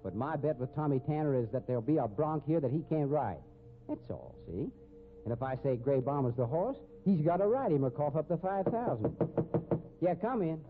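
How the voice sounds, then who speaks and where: An actor playing a gloating, bullying rodeo gambler in a 1950s radio drama, with American accent and rough slang. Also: male voice, on the high side, expressive, a little fast, narrow, tinny audio, over music, natural voice. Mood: taunting. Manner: taunting, rough ungrammatical slang. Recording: thin, noisy vintage radio recording.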